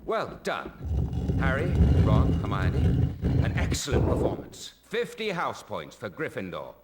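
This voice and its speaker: A soft-spoken, weary wizard teacher. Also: male voice, medium pitch, a little slow, heavy background noise. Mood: gentle. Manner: soft-spoken, calm and kindly. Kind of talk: video game cutscene acting.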